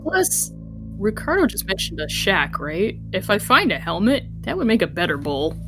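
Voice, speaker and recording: A woman's voice: mid-range voice, big pitch swings, moderate pace, music in the background. A woman chatting casually as a player in a tabletop game, with a dry, blunt way of talking.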